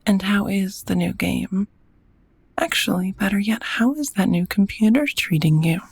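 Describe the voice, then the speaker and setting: A woman playing a gentle, courteous female vampire roommate in an intimate ASMR roleplay, speaking in a tender, softly formal, breathy half-whisper. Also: mid-range voice, normal speed, no echo. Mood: gently curious.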